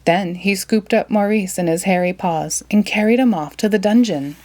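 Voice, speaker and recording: Expressive woman reading a storybook aloud. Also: medium pitch, normal speed. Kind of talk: children's storybook read-aloud.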